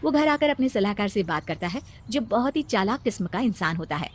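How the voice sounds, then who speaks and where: A woman's voice: mid-range voice, lively intonation, brisk. A woman narrating a scripted story as the storyteller.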